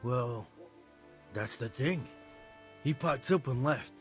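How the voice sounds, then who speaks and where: An earnest medieval stablehand in a video game. He talks in a folksy, hesitant way at a middling pitch, with lots of rise and fall and faint music underneath. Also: animated, slow pace. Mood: hesitant, defensive. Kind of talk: conversational video game acting.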